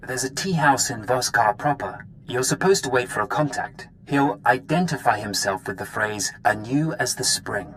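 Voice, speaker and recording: Guy playing a blunt dismissive intel officer in a game, mid pitch, dry, slight noise behind. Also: expressive, normal speed, hardly any pauses, a bit bright, natural voice, low-quality recording. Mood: businesslike. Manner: dry, blunt, faintly disdainful. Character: blunt, dismissive male Imperial intelligence watcher. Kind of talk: cinematic video game briefing.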